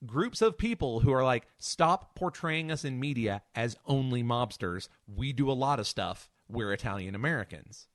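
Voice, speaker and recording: Man with lively, swinging intonation, dry recording. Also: mid-range voice, normal speed, hardly any pauses, dry recording. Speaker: podcast co-host and superhero scholar. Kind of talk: casual analytical podcast discussion.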